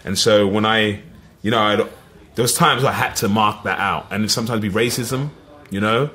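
A middle-aged man with an East London accent, telling lively stories as a podcast guest, full of "you know what I mean". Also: fairly low pitch, moderate pace. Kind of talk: casual storytelling.

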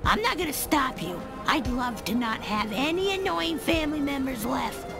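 A woman voicing a fed-up alien mother in a comedic video game, blunt, deadpan and resigned. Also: sits high, moderate pace, gravelly. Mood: weary resignation. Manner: deadpan, resigned, passive-aggressive. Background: music.

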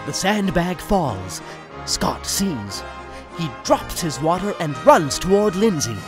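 A man's voice: fairly high pitch, very animated, slow pace, music in the background. A man giving scripted, theatrical narration for a radio play.

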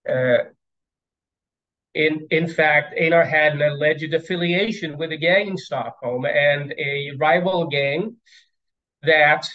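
A man's voice: on the high side, steady tone, slow. Hesitant man on a lo-fi video call. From Sweden. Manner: hesitant, many fillers and repeated words. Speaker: plenary speaker. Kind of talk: academic keynote lecture over video call. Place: indoors at home, stairs behind. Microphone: video call webcam. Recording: dull lo-fi video call audio.

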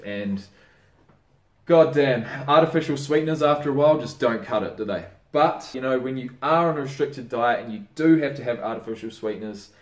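A young Australian man casually giving diet tips in a chatty, swearing, relaxed vlog manner. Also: mid-range voice. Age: young adult. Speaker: fitness vlogger. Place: indoor room by a window. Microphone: camera mic on desk. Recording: roomy, mic a bit distant.

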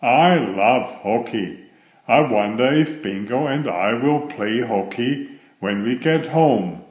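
A man reads a picture book aloud with an expressive, animated delivery. His pace is slow and deliberate, and the room around his voice is noticeably echoey. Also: mid-range voice. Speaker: children's book reader.

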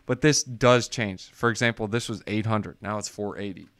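A man's voice: fairly low pitch, normal speed, a bit bright. A man casually walking viewers through a screen share, joking and chatty, calling them guys. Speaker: crypto YouTuber.

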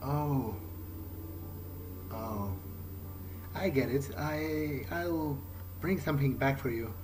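Male playthrough narrator, in character, mid-pitched, hesitant and unhurried, over noisy game ambience. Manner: hesitant, tentative, halting. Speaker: gamer role-playing his character. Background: video game ambience.

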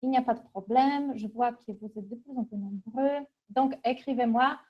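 A middle-aged woman from Poland with a Polish accent, presenting an informal webinar in a halting, conversational way. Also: fairly high pitch. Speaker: university international relations manager, webinar presenter. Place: small office, indoors. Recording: dull, lo-fi webcam audio.

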